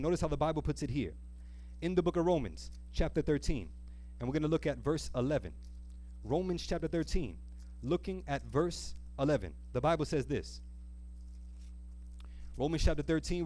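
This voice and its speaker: A man preaching a sermon in an emphatic, exhorting manner, reading scripture and pausing to put questions to his listeners. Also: on the high side, a little mellow. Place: church service, live.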